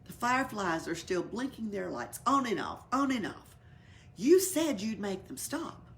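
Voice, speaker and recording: A woman's voice: medium pitch, sing-song, a little slow. A woman voicing a grumpy, demanding male gecko who complains insistently in a storybook reading. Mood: insistent complaining.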